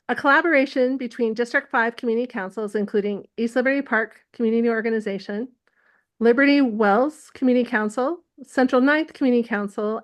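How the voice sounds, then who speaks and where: A woman who chairs a community council, moderating an interview and reading a scripted introduction. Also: fairly high pitch.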